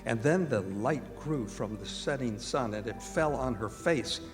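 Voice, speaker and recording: A man plays a somber doctor reciting his diary for radio theatre. His mid-range voice is measured and dramatic, ringing in a hall over clear background music. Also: mid-range voice, lively intonation, natural voice. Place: performing arts center stage.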